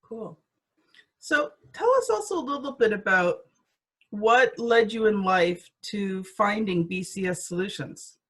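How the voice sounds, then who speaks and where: A woman's voice: medium pitch, animated, measured pace, a bit bright. A woman speaking as a podcast host, introducing the show and chatting with a guest. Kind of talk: podcast introduction and interview chat.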